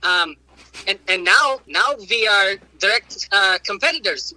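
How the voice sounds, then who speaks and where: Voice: mid-range voice, expressive, unhurried, bright, forceful tone, poor audio. A performer voicing an eager male cheesemaker with a put-on mixed European accent, in a comic phone interview. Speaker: interview guest (comic character).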